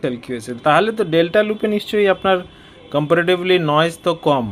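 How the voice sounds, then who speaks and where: A man's voice: a little high, animated, brisk, slight background noise. A man with a Bengali Indian accent chatting casually as an amateur radio operator, mixing English phrases and radio procedure into his talk. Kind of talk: casual ham radio conversation.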